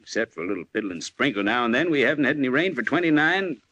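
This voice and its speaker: An actor playing a folksy, evasive small-town newspaper editor in a 1940s-style radio drama. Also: male voice, mid-range voice, very animated, fast, sharp tone. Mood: confiding. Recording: noisy old-time radio recording.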